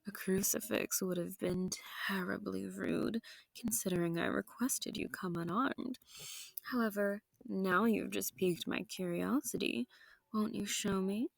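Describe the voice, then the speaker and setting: A woman's voice: medium pitch, a little slow, vocal fry, no echo. A woman playing a seductive, sadistic vampire noble in an intimate audio roleplay, genteel and teasing with menace, often sinking into whispers. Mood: coaxing, predatory. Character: seductive, sadistic, possessive female vampire noble hosting her foe.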